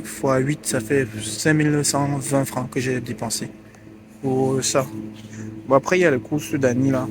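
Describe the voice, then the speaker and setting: A man chatting casually in a vlog, teasing and joking with a friend. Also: medium pitch. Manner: joking, teasing, lively banter. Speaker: vlogger. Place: in Russia, indoors and in shops. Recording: music playing under the voice.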